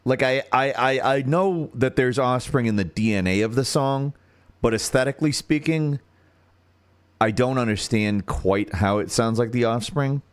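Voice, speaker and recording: A man with a deep radio-style voice and an American accent, hosting a casual livestream and thinking out loud as he searches for words. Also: normal speed, smooth. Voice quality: turns nasal when singing high.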